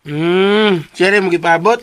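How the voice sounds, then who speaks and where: An actor playing a teasing, excitable, rather dim buddy who halts and repeats his words, in a radio drama with faint hiss. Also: high-pitched. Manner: halting, stuttering, repeats words.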